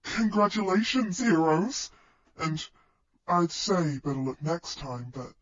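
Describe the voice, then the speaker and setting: A man playing a young male villain mastermind who taunts in a slow, theatrical, menacing way on a dull recording. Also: fairly high pitch, animated. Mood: mock cheerful. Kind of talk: podfic villain speech. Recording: dull lo-fi sound.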